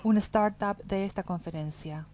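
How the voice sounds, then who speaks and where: A woman's voice: mid-range voice, normal speed, darkish tone. A woman interpreting a talk fluently at a conference, with the odd restart. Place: conference hall.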